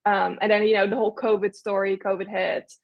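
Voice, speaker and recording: A woman's voice: mid-range voice, little pitch movement, a little fast, creaky, lo-fi sound. A young woman from the Netherlands, chatting casually as an interview guest, with lots of fillers and restarts. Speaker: guest, agency owner.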